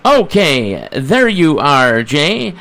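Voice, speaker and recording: Slow-paced American man hosting radio. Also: fairly high pitch, huge pitch swings, creaky, a bit bright. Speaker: radio talk show host. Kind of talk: live radio interview.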